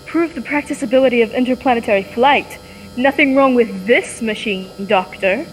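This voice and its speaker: Young actress as high-voiced, curious heroine. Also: female voice, moderate pace, lightly acted. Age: young adult. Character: eager, curious young woman soldier questioning a hero. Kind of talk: old-time radio serial acting. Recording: echoey hall with background noise.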